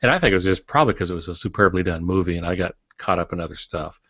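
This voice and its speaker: Low-voiced man in an echoey room. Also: very fast, fairly bright tone. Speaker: podcast panelist, fiction writer. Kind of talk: casual podcast discussion. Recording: dull audio in echoey room.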